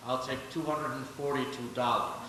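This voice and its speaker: A man's voice: medium pitch, moderate pace, sharp tone, natural voice. A man playing a stubborn, indignant townsman in a live radio play, blunt and emphatic. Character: stubborn, indignant townsman demanding his money. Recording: echoey hall, distant, faint noise.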